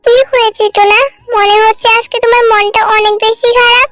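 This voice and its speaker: Woman as a high-pitched, caring bird wife. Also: flat delivery, a little fast, a bit bright, no echo, soft background music. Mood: gently coaxing. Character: caring, firm young female bird wife.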